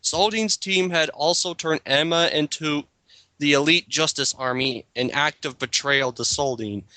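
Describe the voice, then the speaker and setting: A man's voice: on the high side, a little slow, bright, forceful tone, no room sound. A man reading a story aloud as a mock dramatic reading, breaking into casual banter and stumbling over long words. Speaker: reader in a group dramatic reading.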